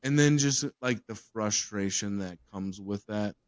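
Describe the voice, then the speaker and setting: A man's voice: fairly low pitch, a little slow, clean tone, dry recording. Laid-back man chatting, dry sound. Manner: rambling, swears freely, laughs often. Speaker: podcast host. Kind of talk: casual chat.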